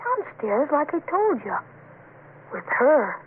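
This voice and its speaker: A woman's voice: sits high, very animated, slow pace, heavily acted. A woman playing a gleeful, eerie little boy with an innocent gee-whiz manner in a 1970s radio suspense drama. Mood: pleading. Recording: tinny, noisy old radio recording.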